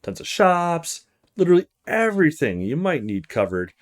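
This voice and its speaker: A man acts out an old taxi driver in a video game, friendly and a little roguish. He chats in a folksy way, eager to hand out local tips, and his pitch swings dramatically. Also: sing-song, normal speed. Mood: helpful.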